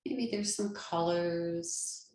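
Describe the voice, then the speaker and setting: A woman leading a guided meditation as a yoga nidra teacher, speaking gently and soothingly with long pauses. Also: mid-range voice, echoey room, a little hiss or hum.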